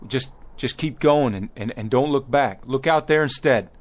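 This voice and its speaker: An actor playing an earnest, desperate pioneer husband who leads a wagon party and speaks haltingly in a radio drama. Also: male voice, medium pitch, lightly acted. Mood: urgent, reassuring. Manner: halting, stammering, repeating words.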